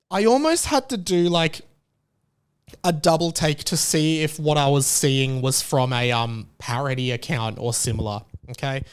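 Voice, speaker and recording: A man hosts his own podcast with a slightly high, smooth and clear voice. He rambles through casual chat, restarting his sentences and jumping up in pitch when something strikes him as absurd. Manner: animated, incredulous, rambling with restarts. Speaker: podcast host.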